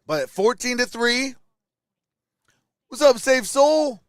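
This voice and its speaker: Male sports streamer, high voice, dry close sound. Informal, clipped play calls and fan chat. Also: lively intonation, takes it slowly, a bit bright, smooth, no room sound. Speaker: live stream sports host.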